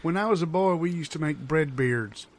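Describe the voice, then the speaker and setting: A man's voice: a little high, normal speed, slight background noise, natural voice. A man playing a childlike, dotty old country man in improvised comedy, folksy, wistful and a little querulous. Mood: musing.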